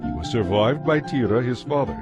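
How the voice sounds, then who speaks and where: A man giving a measured, solemn scripted narration of a dramatized Bible. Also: medium pitch, sing-song. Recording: narration over a music bed.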